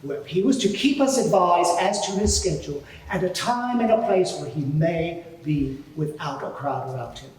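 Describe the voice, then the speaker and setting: A man playing an indignant, self-righteous high priest giving pompous, deliberate testimony in a dramatised courtroom inquiry. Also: darkish tone, lightly acted. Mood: measured, disdainful. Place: large courtroom before a live audience. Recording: very echoey hall, distant mic.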